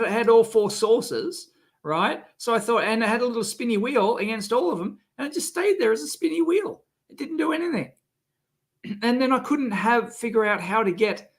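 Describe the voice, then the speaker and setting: A man with a cold and a rough, sick-sounding voice, hosting a casual live stream and grumbling with exasperation. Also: sits high, fairly bright tone. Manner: grumbling, exasperated, swears freely. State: has a cold, coughing. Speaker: electronics video host.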